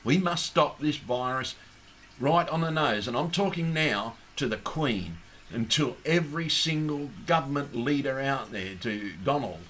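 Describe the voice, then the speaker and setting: A croaky, hoarse Australian man making an impassioned, blunt appeal straight to camera, swearing heavily as he rants. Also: mid-range voice, expressive, a little slow, fairly bright tone, a little hiss or hum. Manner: blunt, ranting, heavy swearing. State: hoarse, losing his voice. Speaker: activist.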